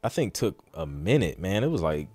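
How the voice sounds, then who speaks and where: A man's voice: deep voice, big pitch swings, normal speed, a little mellow, husky, soft background music. A man hosting a casual question-and-answer podcast, talking informally with some slang and thinking aloud as he goes. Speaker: podcast host and music producer answering questions. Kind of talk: casual live Q&A talk.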